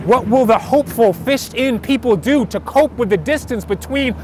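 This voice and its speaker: A man with a notably high voice performs slam poetry with emphatic, rhythmic phrasing. His delivery is theatrical and dramatic. It is recorded outdoors, with clear city and vehicle noise around him. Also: moderate pace. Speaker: spoken word poet.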